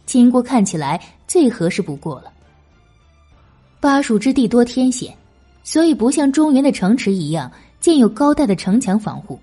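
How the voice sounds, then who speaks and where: A woman's voice: on the high side, mellow, husky. A woman narrating a historical novel as a scripted audiobook.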